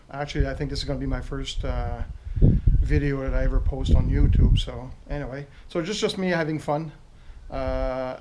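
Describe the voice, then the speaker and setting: A man's voice: medium pitch, very noisy. A man in his fifties from Quebec with a strong French Canadian accent, French his first language, rambling casually through a home video with many fillers and restarts. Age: 50s. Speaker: retired military motorcycle owner.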